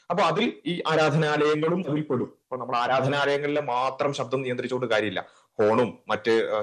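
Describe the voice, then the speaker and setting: A man's voice: mid-range voice, a bit bright. A man speaking as a panelist in a TV news debate, explaining his argument step by step.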